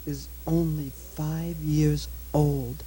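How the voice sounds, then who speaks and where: A man's voice: on the high side, flat delivery, drawn-out words, warm tone, slightly rough, heavily acted, rough recording. A man playing a remorseful king and anxious father with anguished, melodramatic puppet show delivery. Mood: worried, grieving. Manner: anguished, dramatic.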